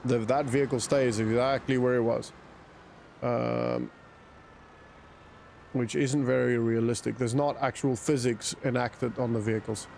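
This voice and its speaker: A man's voice: mid-range voice, steady tone, unhurried, creaky, pauses often, darkish tone, slight background noise. A man from South Africa with an accent that mixes South African and British, chatting casually while streaming a game, thinking aloud and answering chat. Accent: mixed South African and British. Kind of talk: casual live gameplay commentary.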